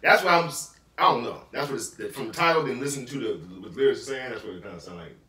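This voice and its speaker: A man's voice: medium pitch, lively intonation, fast, sharp tone. A man in his thirties co-hosting a reaction video at home, talking casually and rambling with lots of fillers. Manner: laid-back, rambling with many fillers. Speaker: reaction channel co-host. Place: bedroom, indoors. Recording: echoey room, mic far off.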